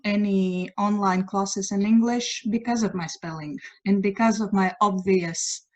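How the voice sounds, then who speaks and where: Latvian woman, halting English, muffled call. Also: medium pitch, fairly even intonation, darkish tone. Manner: halting, searches for English words. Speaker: guest writer sharing news. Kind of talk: casual chat on a video call. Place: at home by a window at night. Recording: dull lo-fi video call audio.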